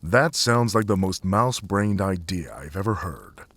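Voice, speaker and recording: A man's voice: low voice, expressive, measured pace, fairly bright tone, slightly rough, no room sound, full character voice. A man voicing a grumpy, scornful warrior cat, grumbling his words in a storybook tale. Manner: grumbling, hissing.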